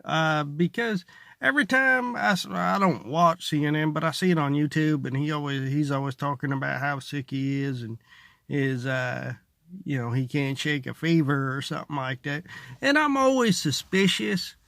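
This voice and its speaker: A man talks like a stand-up comic in a loose, sarcastic monologue. His voice sits a bit high for a man and crackles with heavy fry. The sound is close and dry, as inside a car. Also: moderate pace, fairly bright tone, dry recording. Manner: rambling, sarcastic. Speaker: stand-up comedian, vlogger. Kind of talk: casual comedic monologue to camera.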